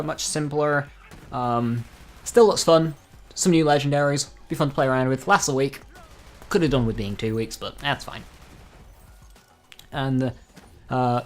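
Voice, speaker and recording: A man talking casually through game news as a video creator, informal and dropping dry, joking asides. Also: mid-range voice. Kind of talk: casual gaming news commentary.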